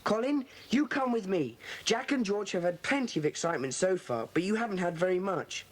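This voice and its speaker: A woman's voice: medium pitch, expressive, natural voice. Woman as Peter, bossy schoolboy club leader. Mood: earnest, decisive. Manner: brisk, earnest, commanding. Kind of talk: children's audiobook dramatisation. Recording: hissy old cassette dramatisation.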